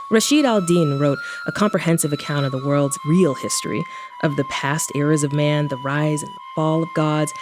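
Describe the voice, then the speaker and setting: A woman playing a wry, knowing adventurer who narrates her own story in an audio drama with flippant asides. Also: low voice. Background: music.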